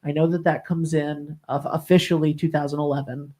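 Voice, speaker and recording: A man's voice: on the high side, flat delivery, a little fast, dark tone. A man hosting an interview series, bantering and joking with his guest, with frequent ums and uhs. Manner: jokey, friendly banter, many fillers. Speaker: interview show host.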